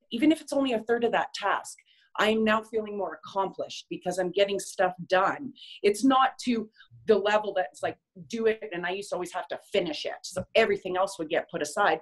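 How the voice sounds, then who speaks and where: Woman, medium pitch, candid, conversational, self-reflective, casual motivational sharing. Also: poor audio. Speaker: group member sharing personal reflections.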